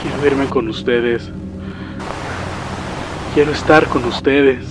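Un hombre que interpreta a un vigilante nocturno, padre de familia, aterrado, en un relato de terror dramatizado. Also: male voice, mid-range voice, fairly even intonation, slow pace, lightly acted, poor audio. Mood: desperate, tearful. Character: terrified night watchman, a father breaking down in panic. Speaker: storyteller in a horror story dramatization. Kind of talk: dramatized first-person horror narration. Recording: music behind the voice.

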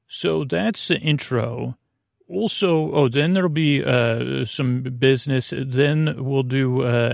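Creaky-voiced man rambling soothingly. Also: medium pitch, no echo, studio quality. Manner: meandering, mumbling, repetitive, with tangents; lulling, soothing delivery. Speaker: podcast host. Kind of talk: rambling bedtime sleep podcast introduction.

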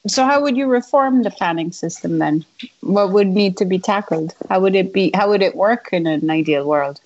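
A woman's voice: mid-range voice, normal speed, darkish tone, dull audio. A woman hosting a podcast, asking probing questions and rephrasing them as she goes. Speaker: podcast host. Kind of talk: interview questions.

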